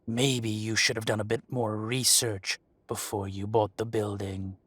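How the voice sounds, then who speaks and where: A man performs a possessive dragon-shifter crime lord in an intimate audio roleplay. His low voice is rough and breathy, recorded very dry. He delivers condescending threats slowly and deliberately, leaving heavy pauses. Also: little pitch movement, fairly bright tone, dry recording. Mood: menacing. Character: menacing, possessive dragon-shifter mafia boss, adult male. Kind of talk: dramatic roleplay monologue addressed to the listener.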